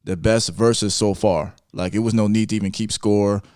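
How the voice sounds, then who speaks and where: A man with a fairly deep voice hosts a casual music discussion. His speech is informal and slangy, and the sound is close and dry, with very little room on it. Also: fairly even intonation, moderate pace, a little mellow, clean tone, no room sound. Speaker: podcast host.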